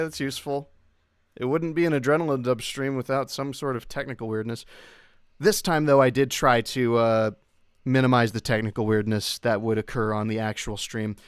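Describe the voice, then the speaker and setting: A young adult man hosting a casual livestream in a clear, smooth voice at a middling pitch. He rambles hesitantly and often breaks off to restart a thought. The sound is dry and close, with no room echo. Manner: rambling, hesitant, self-interrupting. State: occasional coughing from long covid. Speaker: livestream host.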